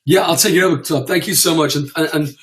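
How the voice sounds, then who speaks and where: A man presenting a live webinar, croaky from a cold, chatty with lots of fillers and repeated words. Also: fairly high pitch, fast talker, a bit bright.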